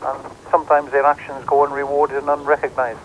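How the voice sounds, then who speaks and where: A man's voice: on the high side, flat delivery, fairly quick. A man with a British accent giving spontaneous interview answers as a firefighter. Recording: dull, faintly noisy 1980s TV tape.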